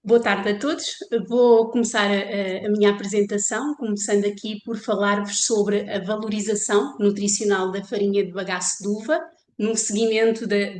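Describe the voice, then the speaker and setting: A woman professor with a European Portuguese accent gives a formal, measured academic presentation. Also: mid-range voice, a little mellow, reverberant. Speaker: professor and researcher. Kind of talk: online conference presentation.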